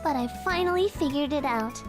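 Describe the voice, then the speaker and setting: A woman's voice: sits high, brisk, natural voice. An actress playing a brainy, eager young woman engineer in an anime visual novel. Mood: excited, proud. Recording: loud music behind the voice.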